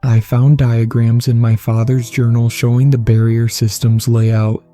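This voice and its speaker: A man reading scripted first-person horror narration in a measured, solemn way. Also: medium pitch, flat delivery, dark tone. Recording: music behind the voice. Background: music.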